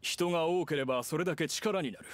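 A man voicing a burly, hearty middle-aged miner in an anime game, talking in a frank, rough and casual manner. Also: on the high side, fast, very noisy, natural voice. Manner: frank, rough colloquial phrasing. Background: game music.

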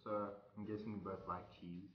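A man's voice: deep voice, flat delivery, normal speed, heavy background noise. A laid-back man of twenty chatting casually for a couple's vlog. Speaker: vlogger. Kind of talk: casual chat. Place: small room with brick wall. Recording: roomy, dull sound under loud music. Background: music.

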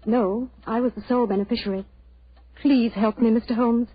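A thin, phone-like old radio recording carries a well-bred young lady. She is earnest and anxious, pleading politely in a husky voice. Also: fairly high pitch, fairly even intonation, fairly quick, dry recording. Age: young adult. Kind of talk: 1940s radio acting.

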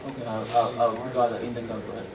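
A young man, a non-native English speaker, explaining technical steps in a workshop with halting, fragmented phrasing. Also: medium pitch, flat delivery. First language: not English. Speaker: trainer. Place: indoor workshop room with small audience. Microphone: distant camera microphone. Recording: roomy, far from mic, noisy room.

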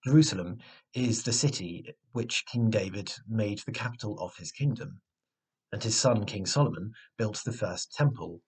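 A man in his twenties with a slightly husky voice, giving a measured, earnest sermon, recorded indoors at home on a device mic. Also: lowish voice, a little mellow, low-quality recording. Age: 20s. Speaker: preacher. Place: small room at home, indoors. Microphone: webcam or device mic at arm's length.

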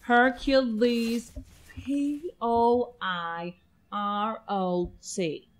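A woman's voice: fairly high pitch, steady tone, drawn-out words. A woman playing a brusque, sarcastic New York rental agent in a radio play, with a dry, offhand manner. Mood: mocking. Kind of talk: amateur radio play acting.

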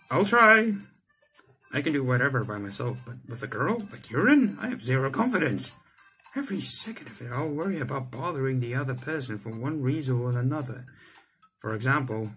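A man's voice: mid-range voice, a bit bright. Young man reading aloud dramatically over music. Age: young adult. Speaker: gaming YouTuber reading a visual novel aloud. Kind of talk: animated read-aloud of visual novel text. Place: indoor room, on webcam. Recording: game music behind the voice. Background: visual novel game music.

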